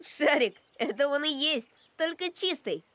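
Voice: high-pitched, animated, unhurried, noisy background. A performer voicing a kind, sensible little boy in a children's cartoon, earnest and friendly. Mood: helpful. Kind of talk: animated children's cartoon acting.

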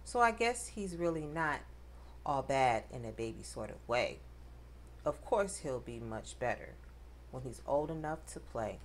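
A woman reading a rhyming picture book aloud to children, with expressive, dramatic emphasis. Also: a bass voice, measured pace, slight background noise. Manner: addresses children directly, dramatic emphasis on rhymes.

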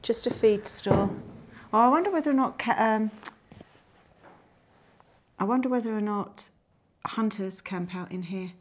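A woman's voice: medium pitch, measured pace, long pauses, slight background noise. A woman casually narrating a visit to an old airport outdoors. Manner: chatty, curious, wondering aloud. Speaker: travel vlogger.

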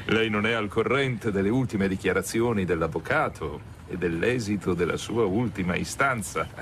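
An actor playing an eager, confiding public prosecutor in a radio drama. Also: male voice, low voice, moderate pace, background noise, natural voice. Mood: excited, eager.

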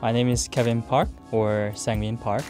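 A man's voice: mid-range voice, little pitch movement, normal speed, music in the background. A man from South Korea answering interview questions in a plain, matter-of-fact way.

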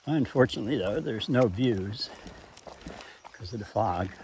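A man's voice: lowish voice, lively intonation, unhurried, a little mellow, slight background noise. Man talking while hiking a foggy trail. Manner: plainspoken, dry humor, thinking aloud. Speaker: thru-hiker vlogger. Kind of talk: casual vlog narration while hiking. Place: foggy forest trail outdoors. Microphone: body-mounted camera.

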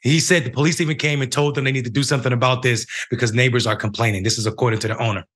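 A middle-aged man with an American accent hosting a news show, reading and commenting in a halting way with repeated phrases. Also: medium pitch, steady tone, fairly bright tone. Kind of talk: news commentary, reading a report and giving opinion. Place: home room, indoors.

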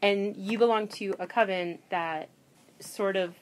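A woman's voice: medium pitch, steady tone, a little slow, a little hiss or hum, low-quality recording. A woman interviewing a guest, friendly and curious, often laughing along. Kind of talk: casual interview.

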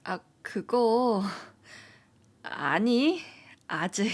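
An actress playing a gentle, hesitant young woman who is a senior colleague about to leave her job, in an amateur audio drama. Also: fairly high pitch, sing-song, drawn-out words, exaggerated voice. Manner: soft, hesitant, friendly.

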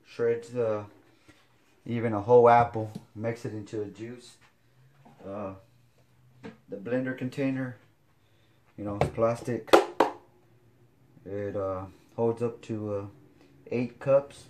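A man, showing kitchen gear in a casual home video, talking haltingly with many fillers. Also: mid-range voice, fairly even intonation, mellow. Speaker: fitness vlogger. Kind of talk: casual product demo. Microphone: camera on counter.

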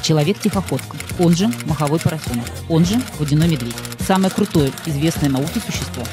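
A woman's voice: sits low, lively intonation, fast talker, over loud music. A woman narrating scripted mock-scientific character introductions for a cartoon.

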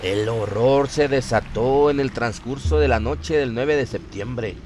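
A man's voice: mid-range voice, unhurried, background noise. A man reading a scripted horror story as its narrator. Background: music behind the voice.

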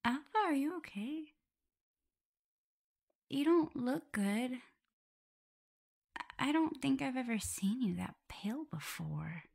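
A woman playing a caring, flustered young omega werewolf in an intimate ASMR roleplay, soft and often breathy and whispery, fussing as she talks. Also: high-pitched, expressive, takes it slowly, pauses often, exaggerated voice. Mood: worried, scolding. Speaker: audio roleplay performer.

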